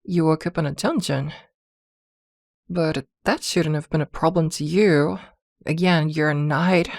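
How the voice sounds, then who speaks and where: Woman voicing a slow, low-toned possessive witch girlfriend. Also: a little mellow, dry recording, clean studio sound. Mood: concerned, questioning. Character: possessive, protective witch girlfriend, doting yet jealous. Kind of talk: ASMR girlfriend roleplay audio drama.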